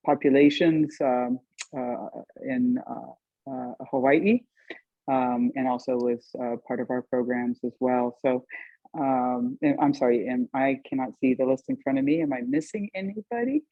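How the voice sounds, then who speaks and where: A man moderating a panel over a video call, introducing guests with many halting fillers. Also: medium pitch, no room sound. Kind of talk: hesitant spoken introduction. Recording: tinny phone-like video call audio.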